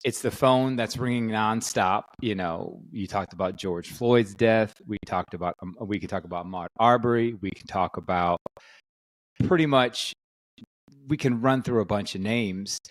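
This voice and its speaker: A man's voice: lowish voice, moderate pace, no room sound, rough recording. A man who is a fitness coach, talking as a podcast guest in an earnest, reflective way, often restarting his sentences. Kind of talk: podcast discussion.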